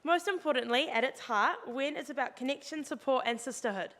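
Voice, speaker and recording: Young NZ woman hosting a student evening, high and bright, upbeat, long hall reverb. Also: fairly quick. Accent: New Zealand. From New Zealand. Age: young adult. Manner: upbeat and encouraging. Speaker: student presenter. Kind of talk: presenting to an audience.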